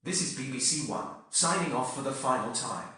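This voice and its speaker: A man's voice: medium pitch, expressive, pushed and bright. Man announcing an emergency, very echoey. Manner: formal, measured, repetitive. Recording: heavily echoing reverb.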